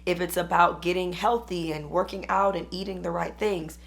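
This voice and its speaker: Hoarse woman, 30s, business coach; rough, gravelly, lowish voice, upbeat and chatty. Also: steady tone, moderate pace. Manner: chatty, upbeat, uses "y'all". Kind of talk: casual talk to camera.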